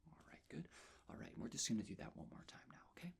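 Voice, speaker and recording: Soft spoken asmr guy doing a nurse checkup, low n gravelly, kinda whispery, gentle and reassuring. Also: lively intonation. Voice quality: breathy, husky, often whispered. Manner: gentle, reassuring, unhurried personal attention. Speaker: ASMR performer playing a nurse.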